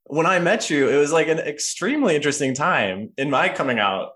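A man's voice: on the high side, lively intonation, brisk, a bit bright. A man hosting a podcast in a casual, personal chat, rambling and often interrupting himself as he goes. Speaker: podcast host.

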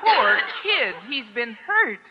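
An American actress playing a quick-witted, flustered high school teacher with dry, deadpan asides in an old radio comedy. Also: female voice, some chatter behind, heavily acted. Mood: mock concern. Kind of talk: 1940s-50s American radio comedy acting. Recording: noisy, muffled old radio recording.

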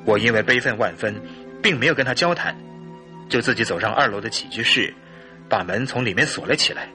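An actor playing a distraught, nervous husband in a radio drama, speaking earnestly. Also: male voice, mid-range voice, brisk, bright, forceful tone, faint music behind. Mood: dejected, agitated.

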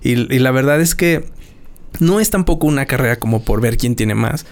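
A young man with a Zacatecas accent chats loosely on a podcast. He stumbles and repeats words as he goes, slipping in slang. His voice sits at a middling pitch. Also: expressive, normal speed. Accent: Mexican, Zacatecas. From Mexico. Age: young adult. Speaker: podcast host. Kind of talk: casual podcast chat.

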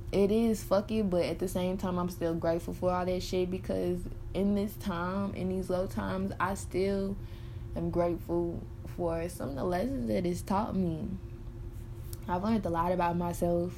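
Young woman in her early twenties, lowish mellow voice, frank and profane, rambles with fillers, confessional monologue. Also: creaky, slight background noise, rough recording. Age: early 20s. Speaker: podcaster. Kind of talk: candid personal monologue.

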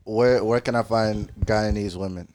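A man's voice: fairly low pitch, steady tone, moderate pace, darkish tone. A young man from Ethiopia chatting casually, playful and joking, laughing often. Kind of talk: casual chat.

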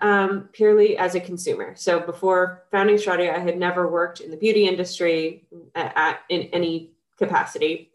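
A young woman with a cold speaks in a somewhat low, nasal voice. She talks eagerly and casually, full of "um"s and "you know"s. The sound is roomy and echoey. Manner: chatty, enthusiastic, many fillers. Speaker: skincare founder, guest. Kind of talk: casual podcast interview.

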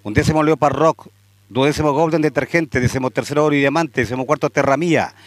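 Man, Chilean accent, race commentary, slightly high voice, dry sound. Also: fast talker, dry recording. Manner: steady calling that climbs louder and higher at the finish. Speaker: horse racing announcer.

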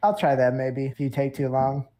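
A woman voicing a dutiful, trusting male noble heir in a tabletop game, formal, halting and earnest. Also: rumbling low, fairly even intonation, warm tone, voice pushed down. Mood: solemn. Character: dutiful, trusting nobleman heir, fussy about his name.

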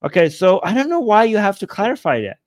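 A man hosting a livestream, reading texts and viewer messages aloud and commenting casually in an animated, mock-incredulous, digressive way. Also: sits high, fast talker, dry recording, clean studio sound. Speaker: livestream host.

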